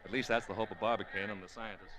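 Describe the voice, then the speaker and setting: A low-pitched male announcer played by an actor reports live from a launch site in a vintage radio play. A busy crowd is heard all around him. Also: fairly even intonation, racing, lightly acted, low-quality recording. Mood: urgent reporting. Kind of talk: old-time radio drama commentary. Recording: old radio drama, crowd noise behind. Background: launch crowd and outdoor bustle.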